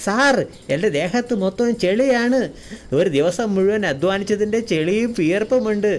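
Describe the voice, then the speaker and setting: A man's voice: high-pitched, moderate pace, lightly acted. A man playing a lazy, excuse-making farmhand with a plaintive, deferential manner in a cartoon story. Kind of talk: cartoon moral story voice acting.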